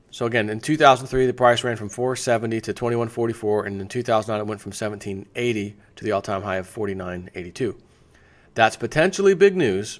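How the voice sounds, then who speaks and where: A man's voice: mid-range voice, fairly bright tone. A man hosting a financial news video, reading an article aloud in a conversational way and breaking off for off-the-cuff asides. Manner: conversational, breaks off to add asides and rhetorical questions.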